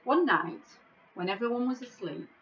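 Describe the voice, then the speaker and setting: A middle-aged woman reading a children's storybook in a measured, expressive way, recorded on a device microphone in an office. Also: fairly high pitch, lo-fi sound. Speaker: school staff member reading a bedtime story. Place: small office, indoors.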